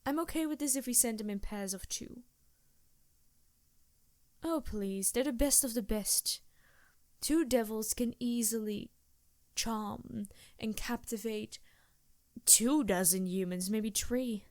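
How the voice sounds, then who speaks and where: Woman as scheming succubus ally for intimate ASMR roleplay: soft-spoken, seductive, unhurried, very close and dry sound. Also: a little high, no echo. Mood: wary, negotiating. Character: scheming female succubus demon, seductive, reluctant but loyal ally.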